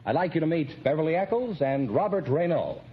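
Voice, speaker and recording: A man's voice: a little high, sing-song, brisk, husky. An American man announcing a vintage radio quiz show in a polished, formal, showman-like style. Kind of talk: 1950s broadcast announcing. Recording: dull vintage radio recording with music.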